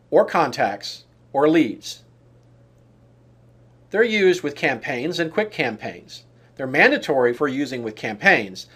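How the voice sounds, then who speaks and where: A man giving scripted instructional narration as a software trainer, in a measured, explanatory way that sets out lists item by item. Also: fairly high pitch, husky.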